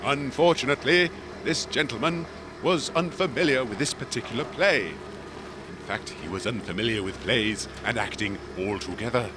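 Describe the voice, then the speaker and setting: A man playing a courtly lion, an old-fashioned gentleman actor with a florid, pompous, wordy way of talking in a comedy audio drama. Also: medium pitch, normal speed, noisy background, natural voice. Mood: grandiose storytelling.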